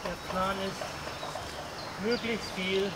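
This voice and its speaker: A man's voice: high voice, fairly even intonation, extremely slow, heavy background noise. A man filming at a campsite, joking and asking questions in a playful manner. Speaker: camera operator and vlogger. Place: outdoors at a campsite. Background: outdoor ambience.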